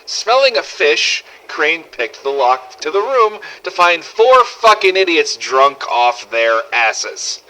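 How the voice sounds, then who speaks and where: A man acts out a sardonic, world-weary runner surviving a zombie apocalypse, recording a game-style audio diary. The voice is slightly high and forceful, with animated swings in pitch and a wry, exasperated edge. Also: takes it slowly, few pauses, full character voice, low-quality recording. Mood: amused, scornful. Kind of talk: in-character audio diary narration, fan-made game audio logs.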